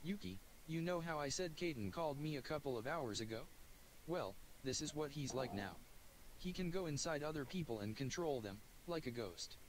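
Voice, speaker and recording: A man's voice: on the high side, expressive, normal speed, a little hiss or hum, natural voice, rough recording. A man voicing a friendly, scatterbrained young woman doctor while reading a visual novel aloud. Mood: chatty.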